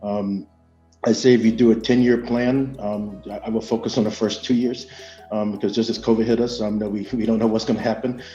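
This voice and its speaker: Dark-voiced American man, muffled and echoey. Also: fairly low pitch, little pitch movement, soft background music. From United States. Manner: hesitant, measured, frequent filled pauses. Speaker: panelist from industry. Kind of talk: panel discussion answer. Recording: muffled, echoey online audio.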